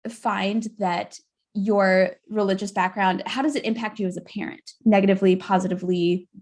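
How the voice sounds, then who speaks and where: A woman's voice: medium pitch, fairly even intonation, normal speed, darkish tone. A woman hosting a podcast, asking open and thoughtful questions in a casual interview. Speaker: podcast host.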